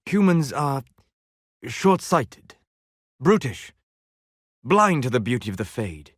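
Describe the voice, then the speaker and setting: A scholarly elven mage for a video game, in a close, dry recording. He is calm and proud, with a melancholy weight. Each phrase is formal and measured, set down with deliberate care. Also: mid-range voice, no room sound. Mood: grave, reflective. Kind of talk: video game character dialogue.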